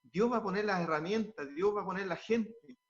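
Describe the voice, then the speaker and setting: A pastor gives earnest, halting answers in a fairly high voice, repeating words as he goes and drifting into preaching. The sound is dull and lo-fi. He is a man speaking in an interview.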